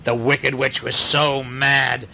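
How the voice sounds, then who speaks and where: A man narrating a bedtime story in an animated, informal way, as if to a listener close by. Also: mid-range voice, takes it slowly, gravelly, very noisy.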